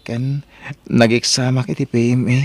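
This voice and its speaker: A man's voice: mid-range voice, level tone, normal speed, darkish tone, slight background noise, natural voice. A man with an Ilocano accent playing a devoted, reassuring young boyfriend in an audio drama. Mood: earnest, apologetic. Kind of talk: Ilocano radio-style audio drama acting.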